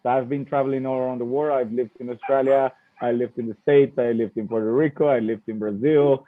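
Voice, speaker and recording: A man's voice: medium pitch, fairly even intonation, brisk, no echo. A Colombian man in his thirties, a native Spanish speaker, talking informally and presenting his company over an online call. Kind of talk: casual talk and informal presentation. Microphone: video call. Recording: muffled phone-like online call audio.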